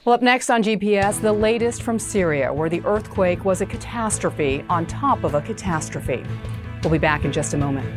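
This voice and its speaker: A middle-aged woman guest anchor hosting a news interview program, reading scripted lead-ins and questioning guests in a measured way. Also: fairly low pitch, expressive, music in the background.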